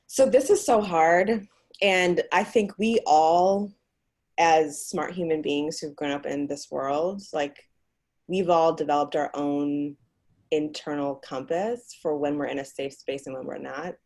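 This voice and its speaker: Low-voiced woman giving measured advice. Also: darkish tone. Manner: measured, thoughtful, explanatory. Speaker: guest speaker. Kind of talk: advice talk on a video call. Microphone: video call.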